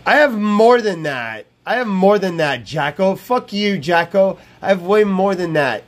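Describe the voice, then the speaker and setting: A man's voice: sits high, lively intonation, a little slow. A man in his thirties live streaming from a small room over an earbud mic, talking in a brash, profane way and repeating himself often. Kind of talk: rambling live stream chat. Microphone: wired earbud headset mic.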